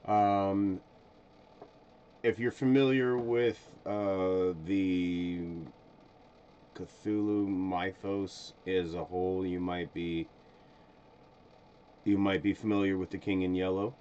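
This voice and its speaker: A middle-aged man gives casual live commentary in a deep voice. He speaks slowly and hesitantly, feeling his way through phrases. There is faint noise in the recording. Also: steady tone, warm tone. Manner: hesitant, halting. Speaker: streamer host. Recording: dull sound, faint background noise.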